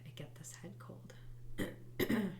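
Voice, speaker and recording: A woman hosting a guided tapping session, congested from a head cold, speaking softly and reflectively and sometimes dropping to a whisper. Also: slow. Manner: soft, reflective, drifts into quiet whispered tapping phrases. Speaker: host and EFT tapping coach.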